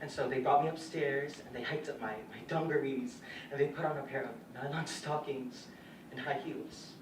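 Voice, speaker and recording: Distant, halting young male dancer played by a man. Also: medium pitch, fairly even intonation, lightly acted. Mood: wry, reminiscing. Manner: halting, hesitant, nervous stammers and restarts. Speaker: stage actor in a school or youth theatre production. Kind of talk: confessional theatrical monologue. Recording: roomy stage, distant mic, faint noise.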